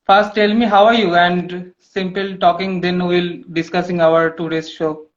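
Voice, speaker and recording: High-voiced host, slow broken English. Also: male voice, level tone, dull audio. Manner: broken English, repeats words, laughs often. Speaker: podcast host. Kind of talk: casual interview chat.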